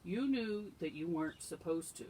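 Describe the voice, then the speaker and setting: A woman's voice: fairly low pitch, animated, moderate pace, mellow, a little hiss or hum, lightly acted. A woman playing a stern storybook mother. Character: Jack's stern, urgent mother, scolding and hollering.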